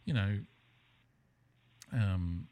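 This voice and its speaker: A man's voice: low voice, very slow, warm tone. A man hosting a casual podcast chat, talking in a rambling, hesitant way that often trails off mid-thought. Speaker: podcast host. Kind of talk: casual conversational podcast interview.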